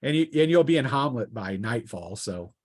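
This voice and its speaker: Male game master, medium pitch, improvised tabletop narration, halting delivery with frequent restarts. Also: lively intonation. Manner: halting, restarting descriptions mid-sentence.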